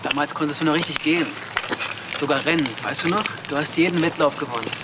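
A male actor plays a brooding young man in a crime radio drama, nursing old grudges in a fairly high voice. He reminisces haltingly. Loud outdoor noise sits all around him. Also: natural voice. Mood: bitter nostalgia. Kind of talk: naturalistic German crime radio drama acting. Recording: very noisy outdoor recording.

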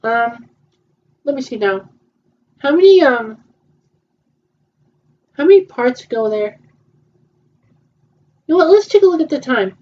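Halting young woman, muffled lo-fi sound. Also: high-pitched, very animated. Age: 20s. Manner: hesitant, halting, many repeats and fillers. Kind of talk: improvised fan roleplay dialogue. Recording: muffled lo-fi home recording.

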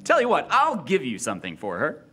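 A woman's voice: sits low, huge pitch swings, a little fast. A woman playing a sly stranger in a live children's radio play, drawing words out enticingly. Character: sly limping stranger hawking magic beans. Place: live performance in a library room.

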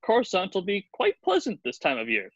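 A man's voice: sits high, huge pitch swings, a little fast, husky, no room sound, full character voice. A man voicing a fussy, prim protocol droid with a prissy, precise manner. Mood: prim, pleased. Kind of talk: fan table read of a film script. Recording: dull lo-fi video call.

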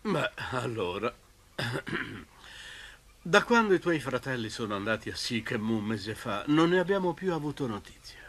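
An actor playing a gentle, doting old patriarch with a tender, measured fatherly manner in a biblical cartoon. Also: mid-range voice, sing-song, full character voice. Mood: concerned, fatherly. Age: older. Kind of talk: dubbed Italian animated cartoon acting.

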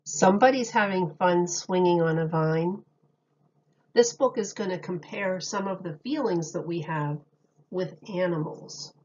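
A woman reads a children's picture book at an unhurried, slow pace. She speaks like a teacher, in simple phrases with questions to little listeners. The recording is slightly dull and lo-fi. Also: on the low side, darkish tone. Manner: simple, deliberate phrasing addressed to small children. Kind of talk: children's read-aloud with questions to young listeners.

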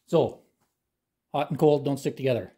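A middle-aged man from Canada, hosting a do-it-yourself channel, explaining a hands-on repair in a relaxed, plain-spoken way while he works in a garage workshop. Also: mid-range voice, moderate pace, noisy background. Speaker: DIY channel host. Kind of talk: hands-on how-to demonstration.

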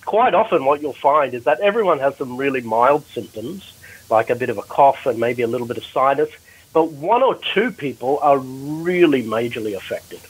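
Husky-voiced man explaining a health topic. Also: mid-range voice, lively intonation, moderate pace, rough recording. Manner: explanatory, with frequent restarts. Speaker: doctor presenter. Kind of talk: panel discussion, explaining. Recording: replay of a Clubhouse audio room.

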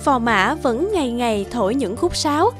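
A woman's voice: on the high side, lively intonation, moderate pace, soft background music. A woman narrating a scripted children's fairy tale as a storyteller.

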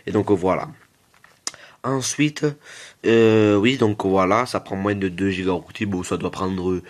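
A man giving casual, rambling gameplay commentary as a video host, repeating himself and digressing jokingly. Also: deep voice.

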